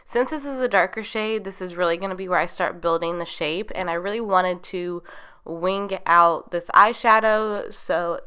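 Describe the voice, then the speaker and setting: A woman's voice: on the low side, normal speed, sharp tone, clean tone. A young woman narrating a makeup tutorial in a chatty, rambling way, her voice a little nasal as she gets over a cold. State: getting over being sick. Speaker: beauty vlogger.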